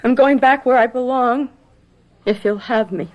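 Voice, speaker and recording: An actress playing a hurt, stubborn college-age daughter, subdued yet defiant, in a radio drama. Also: female voice, on the high side, normal speed, darkish tone, natural voice, poor audio. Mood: defiant, despairing. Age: young adult.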